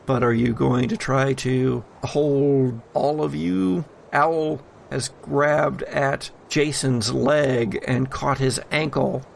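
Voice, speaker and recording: A man narrating a tabletop horror game as game master, in deliberate phrases with pauses between them. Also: mid-range voice, vocal fry, a little hiss or hum.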